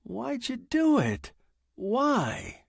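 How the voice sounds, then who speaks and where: A man's voice: very high-pitched, huge pitch swings, extremely slow, creaky, husky, dry recording, studio quality, voice pushed up, exaggerated voice. A man acting a stubborn, flustered male writer in a story, protesting in a melodramatic, emphatic way. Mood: indignant.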